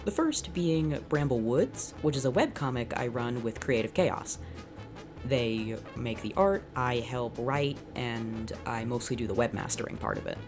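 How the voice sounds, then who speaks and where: A woman's voice: extremely low pitch, lively intonation, measured pace, over music. A woman hosting her channel, reading fanfiction aloud unscripted and reacting as she goes, in a sardonic, dramatic way.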